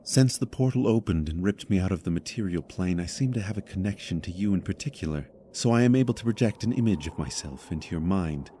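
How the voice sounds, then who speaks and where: An actor playing a solemn, courteous trapped spirit in a fantasy audio drama, speaking in a measured, formal way. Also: male voice, sits low, expressive, dark tone, a little hiss or hum. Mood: somber.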